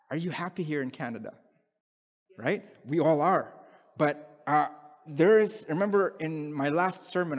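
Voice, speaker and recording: A man, preaching a sermon in a conversational way, often restarting his words. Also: on the high side. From Philippines. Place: large church sanctuary, indoors. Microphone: headset mic. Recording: muffled sound with long hall reverb.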